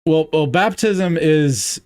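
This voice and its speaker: A man's voice: fairly high pitch, slow pace, a little mellow, clean tone, no echo, clean studio sound. A man hosting a podcast, talking through theology in a casual, searching way with lots of fillers and restarts. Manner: thinks aloud with many fillers and restarts. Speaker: podcast host.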